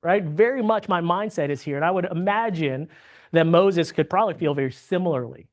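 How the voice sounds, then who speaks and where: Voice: medium pitch, fairly quick. A Bible class teacher from the United States, leading a conversational lesson, often asking "right?" and restarting sentences. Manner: checks in with frequent tag questions; restarts and repeats words mid-sentence.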